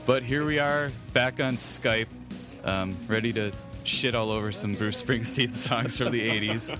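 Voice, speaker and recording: A man's voice: mid-range voice, unhurried, music in the background. A man hosting a podcast in casual, jokey conversation over an internet call, prone to chuckling. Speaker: podcast host. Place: remote Skype call.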